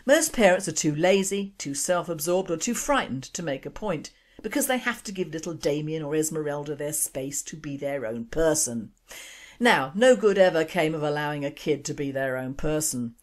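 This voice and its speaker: Older woman, low voice, radio host reading an indignant opinion piece. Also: expressive, moderate pace. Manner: emphatic, indignant, rhetorical questions. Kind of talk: scripted opinion commentary.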